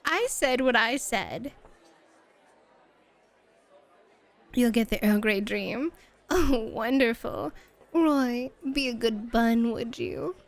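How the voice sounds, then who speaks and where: A woman playing a flirty, teasing bunny-club hostess in an ASMR roleplay, with coy, drawn-out phrasing. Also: fairly high pitch.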